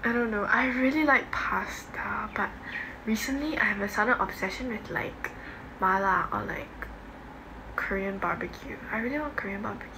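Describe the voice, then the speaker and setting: Young Singaporean woman, livestream host, medium-pitched and offhand, slangy casual chat. Phone mic in a room with clear background noise. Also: moderate pace. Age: young adult. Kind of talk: casual livestream chat, reading and answering comments. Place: home bedroom, indoors. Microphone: phone at arm's length. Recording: noisy phone recording in a room.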